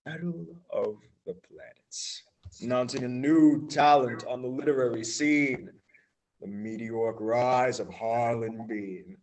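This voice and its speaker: A man's voice: fairly high pitch, rough recording. A young man in a school stage play, playing a bossy, grandiose radio play writer who declaims his lines. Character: pompous scheming male radio play writer-director.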